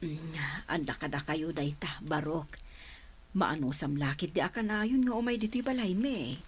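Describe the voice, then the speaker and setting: An actress playing an older, unhurried, welcoming village mother in an Ilocano radio drama, with a husky voice and an Ilocano accent. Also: sits low. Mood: welcoming, curious.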